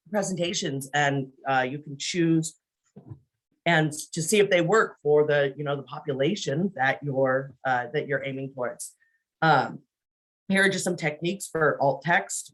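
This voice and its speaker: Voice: mid-range voice, poor audio. A presenter giving an informal screen-share training on a video call, talking conversationally and often checking in with the listeners by saying "right?".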